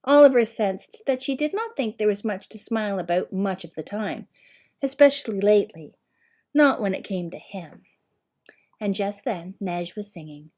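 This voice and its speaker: Woman, audiobook reader, mid-pitched voice, scripted storybook narration, expressive measured storytelling.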